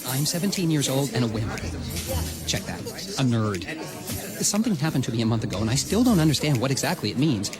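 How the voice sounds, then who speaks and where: An actor playing an awkward, self-pitying seventeen-year-old nerd who speaks hesitantly in a radio drama. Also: male voice, medium pitch, big pitch swings, brisk, lightly acted. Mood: glum. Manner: stammering, hesitant. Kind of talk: radio drama dialogue and narration. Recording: music behind the voice.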